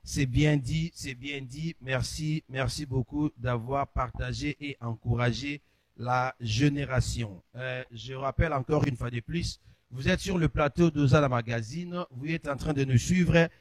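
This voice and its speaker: A man's voice: medium pitch, measured pace, no room sound. Guy hosting a gospel chat show, lots of amens, dry mic. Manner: affirming interjections, amen and hmm. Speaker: host.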